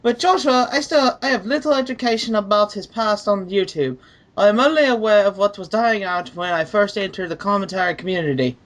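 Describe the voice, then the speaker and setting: A woman giving a dramatic reading of written messages in formal, measured phrasing. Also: medium pitch. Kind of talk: dramatic reading of written messages amid casual group chat.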